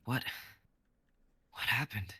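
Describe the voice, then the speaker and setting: A man voicing Kai, a battered and wounded young man who speaks in a strained hush. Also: slow. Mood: dazed, strained.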